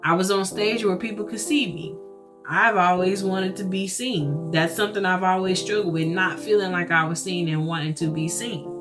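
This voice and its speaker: A woman speaks in a loud voice that carries, telling her church story casually but earnestly. She leans on her points and tosses in rhetorical asides. Soft guitar music plays clearly behind her throughout. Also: lowish voice, normal speed. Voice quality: loud, carrying voice. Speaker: churchgoer sharing a personal testimony.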